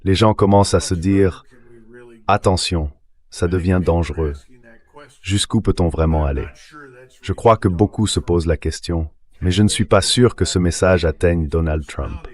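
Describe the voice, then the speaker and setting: A man's voice: low voice, moderate pace. A man's synthetic dubbing voice giving political commentary as an interview guest.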